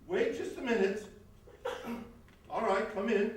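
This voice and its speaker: A man's voice: high-pitched, steady tone, takes it slowly. A man playing a stuffy, nervous, easily flustered doctor in a stage comedy. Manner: indignant, flustered. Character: stuffy, nervous, furtive doctor, an adulterous husband. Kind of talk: theatrical stage comedy acting. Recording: very echoey hall, distant mic.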